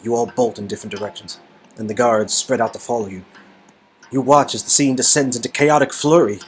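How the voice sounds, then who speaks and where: A man's voice: mid-range voice, brisk. Man narrating an adventure game over music. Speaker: narrator and co-performer. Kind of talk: dramatic narration of an interactive game story. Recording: music behind.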